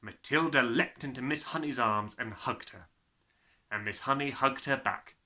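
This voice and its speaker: A man reading a children's story as an expressive narrator. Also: medium pitch, normal speed.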